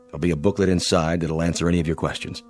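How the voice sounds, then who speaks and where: A weary but kindly space captain, acted by a man with a deep voice. He speaks in a measured, sincere manner, as in a radio drama, with music behind him. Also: little pitch movement, a little mellow, natural voice. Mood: firm, earnest. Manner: measured, earnest, reassuring. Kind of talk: science fiction audio drama acting.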